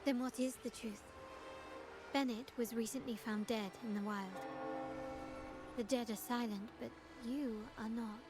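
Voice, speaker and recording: An actress voicing a shrewd, composed noblewoman agent in a video game, speaking in formal, measured, articulate phrases. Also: on the high side, fairly even intonation, close to an everyday voice. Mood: coolly probing. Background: game music.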